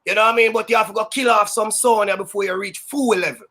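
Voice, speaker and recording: A Jamaican man who works as a sound system DJ, telling stories in a loud, high-energy, boastful way that is full of patois. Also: steady tone, fast talker. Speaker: sound system DJ, interview guest. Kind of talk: casual storytelling interview.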